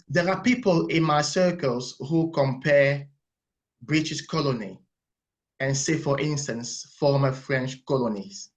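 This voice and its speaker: This is a man from Cameroon joining a webinar over a video call. His voice sits slightly high, and he talks in a careful, hesitant way, breaking off and restarting words as he builds his point. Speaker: webinar participant. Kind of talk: online discussion contribution.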